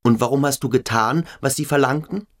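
An actor playing a stern, brooding boarding-school pupil who probes and commands in a German radio play. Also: male voice, medium pitch, fairly quick, natural voice. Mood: stern, accusing. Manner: insistent, probing, commanding.

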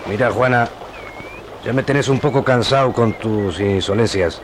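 An actor playing a cocky, cruel gaucho villain with a rural Argentine accent in a radio drama. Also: male voice, lowish voice, normal speed, noisy background, natural voice. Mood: menacing.

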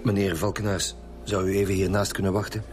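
A grumpy Flemish police chief acted by a man in a radio crime drama. His voice is low, his orders are dry and curt, and music plays clearly underneath. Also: level tone, a little fast, close to an everyday voice. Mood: businesslike. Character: weary, grumpy male police chief inspector.

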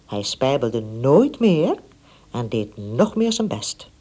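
A woman with a breathy voice narrating a scripted children's story. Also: rumbling low, sing-song, slow pace, mellow.

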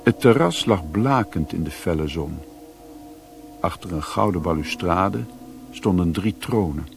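A man playing the grave narrator of an adventure in a radio drama, with a husky voice. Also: deep voice, expressive, unhurried, over music, heavily acted. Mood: grave, tense.

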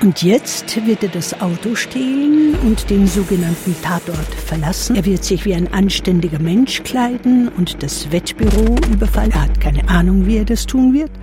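An actress narrating a radio drama about a robbery in scripted, dramatic storytelling. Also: female voice, medium pitch, expressive, normal speed, few pauses, music in the background, natural voice. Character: female narrator recounting a couple's robbery.

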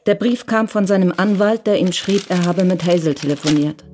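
Woman, scripted literary narration, radio drama, slightly low pitch, clear music bed. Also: a little fast.

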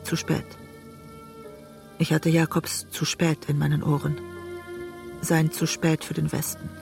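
An actor in a literary radio drama playing a weary, wounded writer, reflective and restrained in narration and dialogue. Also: male voice, on the high side, monotone, measured pace, vocal fry, music in the background. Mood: reflective, melancholy.

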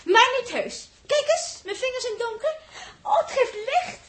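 An actress playing an expressive, girlish woman aboard a spacecraft in a 1960s radio drama, with a Netherlands Dutch accent. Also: very high voice, moderate pace. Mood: playful, delighted. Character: Els, playful spacecraft crew woman turning frightened. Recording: noisy old radio drama recording.

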